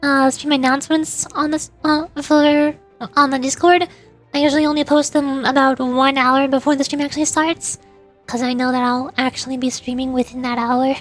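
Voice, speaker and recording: High-pitched chatty woman streamer. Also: fairly even intonation, normal speed, soft background music. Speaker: livestreamer (VTuber) playing and voicing a game. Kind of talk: casual livestream chat with viewers.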